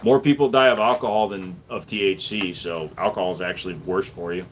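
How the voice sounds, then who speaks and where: A man who runs a gun shop, hosting a casual livestream where he reads viewer messages aloud and answers them in an offhand, relaxed way. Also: deep voice, brisk, a little hiss or hum.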